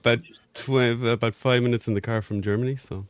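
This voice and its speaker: A man's voice: mid-range voice, steady tone, a little fast, clean tone, no echo. An Irish man chatting casually as a guest, with an Irish accent. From Ireland. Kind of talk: casual chat.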